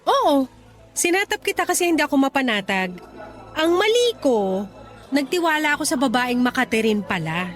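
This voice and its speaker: A woman's voice: sits high, big pitch swings, moderate pace, pauses often. A young woman acting a hurt, angry, sarcastic girlfriend in a radio drama. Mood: bitter, hurt. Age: young adult. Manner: sarcastic, confrontational, emotional. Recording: music behind.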